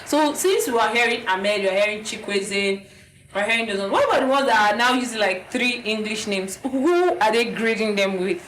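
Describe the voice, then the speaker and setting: A woman with a Nigerian accent, co-hosting a casual podcast chat in a lively, enthusiastic way. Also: a little high, slight background noise, rough recording. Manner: lively, enthusiastic, quick to agree. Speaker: podcast co-host.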